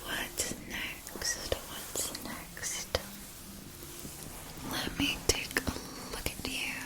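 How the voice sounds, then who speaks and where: A woman voicing a gentle, attentive wand shopkeeper in a fantasy ASMR roleplay, whispering with soothing, careful enunciation. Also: very slow. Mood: soft, attentive. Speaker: ASMR performer.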